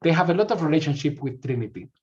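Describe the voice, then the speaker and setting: A man's voice: fairly high pitch, animated, rapid-fire, darkish tone. Male university rep, Spanish accent, presentation delivery, restarts words, muffled recording. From Spain. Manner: repeats words while searching for phrasing. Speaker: university recruitment representative. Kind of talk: informational presentation.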